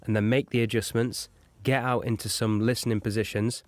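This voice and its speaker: A man with a fairly low voice gives an explanatory audio lesson. He talks as an instructor walking through a technical point. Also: level tone, fairly quick, no echo.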